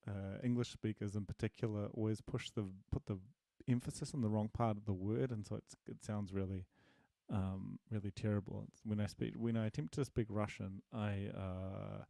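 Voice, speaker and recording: A man's voice: fairly low pitch, fairly even intonation, moderate pace, creaky, mellow, no room sound. A man teaching programming on a casual live stream, answering questions and thinking aloud with many fillers and restarts, friendly and self-deprecating. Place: home desk, dim room.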